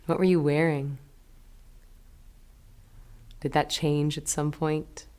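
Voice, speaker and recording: Low, smooth-voiced woman guiding meditation. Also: flat delivery, takes it slowly, dark tone. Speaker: guided meditation teacher. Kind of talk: guided meditation instruction.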